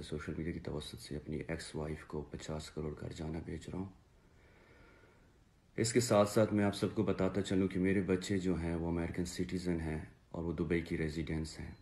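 A man in his thirties with a Pakistani accent, giving an earnest, halting personal statement to camera and repeating phrases for emphasis. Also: a bass voice, creaky, darkish tone. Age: 30s. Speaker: father making a personal video appeal. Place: small indoor room, seated before a plain wall.

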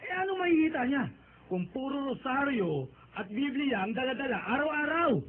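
Bitter, high-voiced older Filipino father. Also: big pitch swings, moderate pace. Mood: defensive, resentful. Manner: scolding, sarcastic, defensive. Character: bitter, jobless older father, scornful of piety. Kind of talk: radio drama acting. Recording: tinny phone-like band, noisy.

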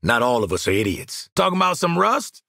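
A tough video game mercenary sniper, with a forceful, gravelly voice that sits fairly high and swings widely in pitch. He talks with cocky, streetwise swagger and wry, slangy profanity. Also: very animated, fairly quick, no room sound, clean studio sound, exaggerated voice. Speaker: game character voice actor. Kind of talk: short video game combat and banter lines.

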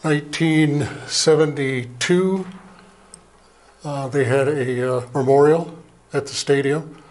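An older man, an Air Force veteran, giving a plain, halting slide presentation from a lectern in a small museum meeting room, heard from a camera across the room. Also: a little high, steady tone. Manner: plain, unhurried, halting with fillers. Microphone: far camera, speaking at a lectern.

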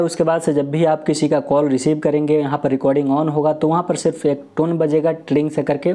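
A man's voice: on the high side, warm tone. A young man giving a step-by-step tutorial, explaining and rephrasing his points as he goes. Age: young adult. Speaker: tech tutorial presenter. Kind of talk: step-by-step tutorial talk to camera. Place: small room indoors. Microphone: lapel mic.

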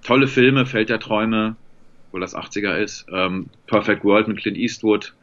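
A man's voice: lowish voice, normal speed, fairly bright tone. A man in his thirties, a voice actor guest, chatting thoughtfully and fondly about films over a video call from a home room. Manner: thoughtful, fond film talk with many fillers. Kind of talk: relaxed video-call interview chat. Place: home room with shelves and pink light. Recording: slightly dull, muffled call audio.

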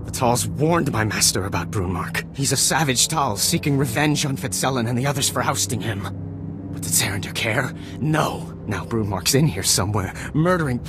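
An actor playing a frightened, bitter Jedi apprentice in a video game, agitated and dramatic, moving between dread and defiance. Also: male voice, medium pitch, expressive, moderate pace, music in the background, natural voice. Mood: fearful, agitated.

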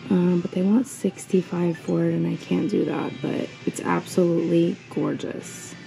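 A woman's voice: lowish voice, steady tone, moderate pace, vocal fry, mellow, soft background music, rough recording. A woman narrating a casual shopping vlog while browsing an antique mall, chatty and admiring, with frequent ums, recorded on a handheld camera. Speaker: reseller vlogger. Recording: fan noise in the background.

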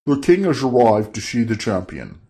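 A man voicing a loud, pompous court herald. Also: medium pitch, very animated, normal speed.

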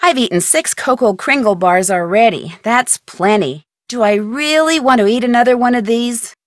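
A woman voicing a plucky, curious young detective in a video game, with an American accent and perky, clearly enunciated, expressive delivery. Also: fairly high pitch, moderate pace, no echo, studio quality, lightly acted. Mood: thoughtful, determined. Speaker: game character and tutorial guide.

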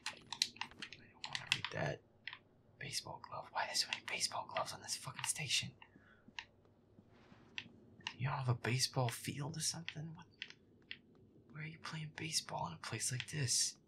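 A man playing a feisty werewolf boyfriend who grumbles and swears sarcastically through a scary video game in a breathy, whispery voice. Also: fairly high pitch, normal speed, pauses often, close to an everyday voice. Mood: annoyed muttering. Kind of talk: gameplay roleplay, muttered reactions.

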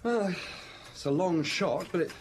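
Young radio-drama detective: earnest, highish and lively, noisy ambience. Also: male voice, measured pace. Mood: eager. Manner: earnest, deferential. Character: keen, dutiful young police detective.

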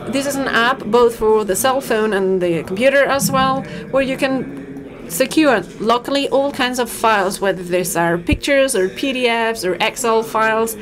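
A woman interpreting a startup pitch in real time, talking fast and steadily with occasional fillers. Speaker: simultaneous interpreter. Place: conference auditorium. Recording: auditorium feed with crowd and noise.

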